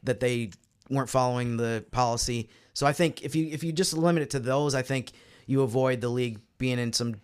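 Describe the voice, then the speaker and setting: A man's voice: medium pitch, fairly quick, smooth. An American man on a sports podcast panel, explaining things casually with hedged asides. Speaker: podcast panelist covering basketball. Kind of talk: casual sports roundtable talk.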